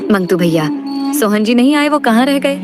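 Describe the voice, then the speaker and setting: A woman's voice: a little high, expressive, normal speed, over music, natural voice. A woman playing an anxious, pleading village wife who frets over her husband in a moral story cartoon. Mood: worried. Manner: pleading, worried.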